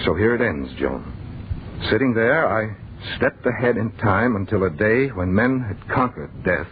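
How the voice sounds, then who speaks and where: A man's voice: fairly low pitch, expressive, unhurried. An actor playing a horrified man who tells of being flung into a deathless future, intense first-person acting in a noisy, muffled old-time radio horror play. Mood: subdued reflection. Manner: dramatic, intense.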